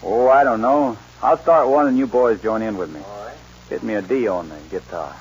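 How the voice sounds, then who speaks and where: An actor playing an easygoing but stubborn cowboy loner in a 1940s western radio drama, with a folksy, plainspoken way of talking. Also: male voice, lowish voice, moderate pace, slight background noise, natural voice. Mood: modest. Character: easygoing, stubborn young-hearted cowboy loner called Wyoming. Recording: dull 1940s radio recording, music.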